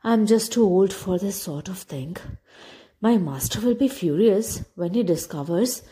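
A woman's voice: mid-range voice, animated, moderate pace, warm tone, natural voice. A woman voicing an old, weary male dog who gasps and groans out of breath.

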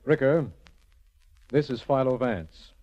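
Muffled recording of formal district attorney, acted. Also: male voice, mid-range voice, slow, natural voice. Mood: businesslike. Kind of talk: 1940s radio detective drama acting. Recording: muffled old 1940s radio recording.

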